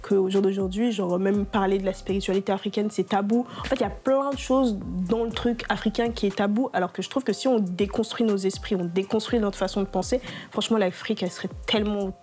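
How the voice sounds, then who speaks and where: Brief: young woman from Congo, French not her native tongue, animated interview guest, faint music behind. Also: medium pitch, fast talker, few pauses. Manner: animated, talks with a rush of fillers and laughs often. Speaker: interview guest, entrepreneur and therapist. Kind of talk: casual conversational interview.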